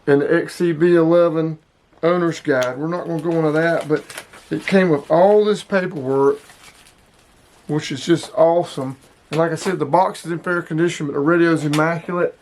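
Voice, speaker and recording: A man's voice: fairly high pitch, steady tone, normal speed, a little mellow, slight background noise. A man in his sixties hosting a casual hobby product showcase, speaking emphatically and repeating himself to drive points home. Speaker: host of a hobby radio video.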